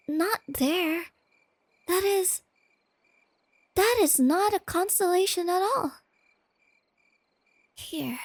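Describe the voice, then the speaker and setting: A shy, tender, nerdy young girlfriend, acted by a woman for an ASMR roleplay. Her high voice is soft and breathy, often close to a whisper, with a noticeable creak. She speaks slowly and hesitantly in a close, dry recording with night insects behind her. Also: no room sound, heavily acted. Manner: hesitant, gently stammering. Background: crickets and night insects.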